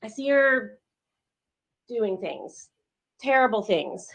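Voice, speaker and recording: A woman playing a frightened, distraught young psychiatric patient in a dramatic read, halting and stammering as she pleads. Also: a little high, big pitch swings, creaky, slightly rough, exaggerated voice. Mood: fearful. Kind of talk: dramatic table read of a screenplay.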